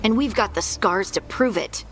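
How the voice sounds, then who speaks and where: A woman's voice: medium pitch, normal speed, fairly bright tone, soft background music, close to an everyday voice, poor audio. An actress playing a friendly, plainspoken female scout companion in a fantasy video game. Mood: friendly, earnest. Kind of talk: cinematic video game dialogue.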